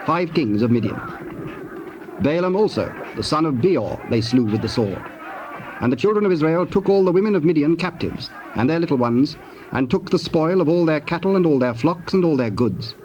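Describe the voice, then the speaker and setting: Formal male narrator, slightly high voice. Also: darkish tone, a little hiss or hum, rough recording. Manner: formal, measured, declamatory. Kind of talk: scripted scripture narration.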